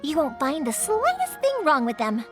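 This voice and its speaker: A woman playing a cheerful young fireworks maker in a game, talking in a bubbly, expressive way. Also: sits high, moderate pace. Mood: friendly. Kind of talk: video game voice acting. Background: game music.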